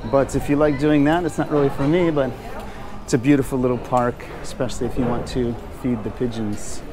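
A man's voice: medium pitch, steady tone, fairly quick. A man giving a casual walking tour narration as a guide. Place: outdoors on old city streets. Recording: outdoor street noise behind voice.

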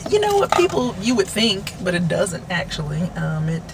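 A woman's voice: on the low side, wildly swooping pitch, moderate pace, gravelly. A woman in her thirties chatting casually and candidly while driving, poking fun at herself. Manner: playful, candid, self-mocking. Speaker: vlogger. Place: inside a car on the road. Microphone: camera mounted in the car. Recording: car road noise behind.

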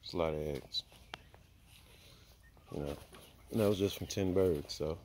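A man's voice: low-pitched, a little slow, a little mellow, a little hiss or hum. A man giving a casual homestead tour outdoors, thinking aloud with many fillers, filmed on a handheld phone. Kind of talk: casual walk-and-talk vlog. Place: outdoor backyard by chicken coops. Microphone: handheld phone camera.

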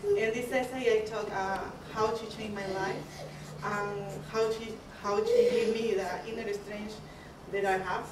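A young woman from Peru, speaking nervously and haltingly, restarting phrases, at a ceremony. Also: mid-range voice, lively intonation. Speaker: adult literacy student. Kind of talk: personal remarks at a ceremony. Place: community room, at a podium. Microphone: podium mic, far camera. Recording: echoey room from far off, crowd and noise.

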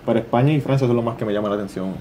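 A young man with a mixed Puerto Rican and Dominican accent, answering interview questions in a shy, modest, soft-spoken way. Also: mid-range voice, very fast, a little hiss or hum. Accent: Puerto Rican and Dominican mix. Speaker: interview guest. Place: small studio with brick-look wall. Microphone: desk boom mic.

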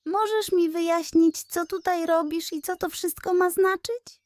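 A woman voicing an earnest, kindly male piglet detective in a children's bedtime story, explaining things in an animated way. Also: very high voice, a little slow, voice pushed up. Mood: surprised, questioning. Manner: animated, explaining, morally instructive.

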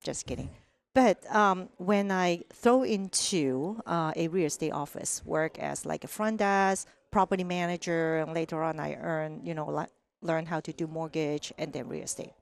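A middle-aged woman from Hong Kong with a Hong Kong accent, telling stories as a podcast guest on a headset mic, animated and lively, often asking "right?". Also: deep voice, normal speed, creaky. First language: Cantonese. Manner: animated, acts out quoted voices, frequent "right?". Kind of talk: casual interview storytelling. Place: sunny room with large windows.

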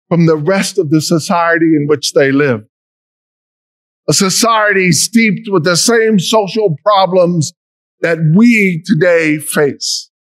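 Slow, high-voiced older deacon preaching. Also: male voice, no room sound. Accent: American. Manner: slow, measured preaching with emphatic rises. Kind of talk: sermon. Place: large church, at a lectern.

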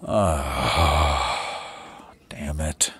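An actor playing a beleaguered, stubborn sheriff in an audio drama, firm and weary. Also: male voice, a bass voice, very slow, a bit bright, breathy edge, full character voice. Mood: weary, subdued.

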